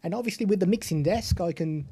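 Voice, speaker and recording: A British man hosts a live stream, walking through a product demo in a chatty, step-by-step way. His voice is mellow and soft-edged, and he turns aside to chat with viewers between explanations. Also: sits high, fast, husky. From United Kingdom.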